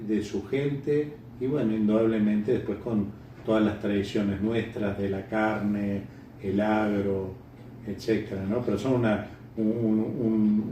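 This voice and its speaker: Uruguayan guy in an interview, kinda low mellow voice, stop and start, far from the mic, roomy sound. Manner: halting, repeats words while thinking. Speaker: interviewee, bird breeder. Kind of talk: unscripted interview answers, reminiscing. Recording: echoey room, distant mic, faint noise.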